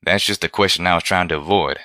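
A low-voiced, folksy pegasus stallion, played by a male narrator in a storybook. He is blunt and bashful, drawling his country phrases in a forceful, dry-recorded voice. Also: steady tone, fast talker, no room sound. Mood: flustered, sheepish.

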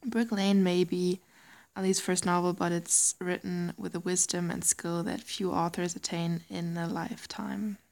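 A young German woman with a light German accent, chatting casually and giggly, often slipping into a soft whisper. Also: on the low side, fairly even intonation, unhurried, creaky. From Germany, raised in Singapore. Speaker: audio creator. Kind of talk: casual chat reading word lists.

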